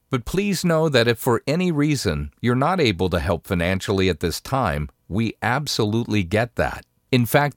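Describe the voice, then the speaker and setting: A man's voice: on the low side, no room sound, clean studio sound. An American man reading a scripted broadcast announcement and appeal in a polished, courteous way. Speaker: radio programme announcer.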